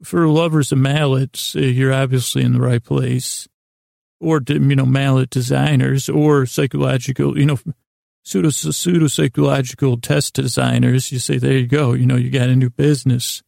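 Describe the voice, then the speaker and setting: A man hosting a bedtime podcast in a rambling, halting monologue, gravelly and creaky, with long pauses, self-corrections and silly self-deprecation. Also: mid-range voice, level tone, a little mellow, dry recording, clean studio sound. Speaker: podcast host.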